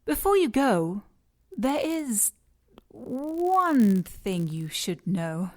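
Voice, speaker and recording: An actress playing a driven, composed scientist villain, formal and condescending, in an audio drama. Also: female voice, fairly high pitch, big pitch swings, drawn-out words, a little mellow, full character voice. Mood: coolly persuasive.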